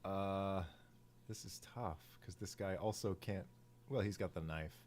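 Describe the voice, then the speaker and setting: A man with a lowish voice plays in a live tabletop game. He talks casually as he works through his turns. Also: a little slow, darkish tone, a little hiss or hum.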